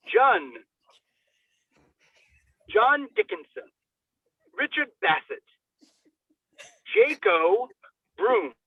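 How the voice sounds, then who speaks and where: A man's voice: sits high, no room sound. A man giving a hammy, theatrical dramatic reading with comic asides, toying with words as he stumbles over them, on a boxy telephone-like recording. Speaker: solo reader.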